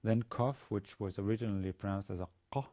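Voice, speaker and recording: A man in his forties, a native Danish speaker with a Danish accent, giving an instructional lecture in a halting, hesitant manner with repeated words. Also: on the low side, dark tone. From Denmark. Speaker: university language teacher. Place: indoor studio with plain grey backdrop.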